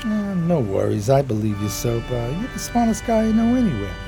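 An actor playing a dim, streetwise, loyal homeless sidekick in an American radio play, with hesitant, slangy street talk. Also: male voice, medium pitch, very animated, brisk, vocal fry. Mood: placating. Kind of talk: radio play dialogue and narration. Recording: music behind the voice.

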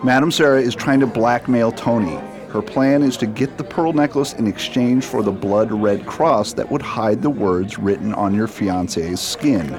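A man's voice: lowish voice, normal speed, few pauses, music in the background, natural voice. A man playing an earnest police doctor in radio drama. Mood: explaining. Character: male police toxicologist doctor, indignant yet reassuring.

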